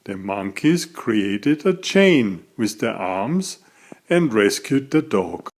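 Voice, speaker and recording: Slow, animated man reading a storybook. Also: mid-range voice. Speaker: author reading aloud. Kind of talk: children's storybook narration.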